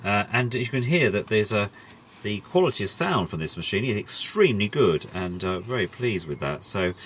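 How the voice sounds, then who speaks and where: A man's voice: lowish voice, big pitch swings, moderate pace, a bit bright, slight background noise. A middle-aged man chatting casually through a hobby demonstration, rambling and hesitant as he goes. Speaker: host demonstrating a vintage tape recorder.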